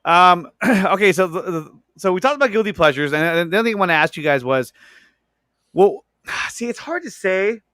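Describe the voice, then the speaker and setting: A man with a fairly high, bright voice hosts a casual movie talk. He speaks in slangy, profane bursts of enthusiasm. The sound is tight and dry, with very little room. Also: lively intonation, normal speed, dry recording. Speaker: podcast host.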